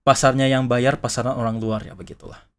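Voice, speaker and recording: A man from Indonesia, chatting casually on a live stream, rambling and restarting his sentences and laughing often. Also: medium pitch. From Indonesia, Malay from Sumatra. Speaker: VTuber streamer.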